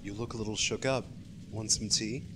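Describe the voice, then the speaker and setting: A man's voice: fairly low pitch, fairly even intonation, normal speed, music in the background, lightly acted. A man playing a young American who steadies a frightened friend in a radio play, casual and reassuring. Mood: casual, friendly. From United States. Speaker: actor in amateur college radio play.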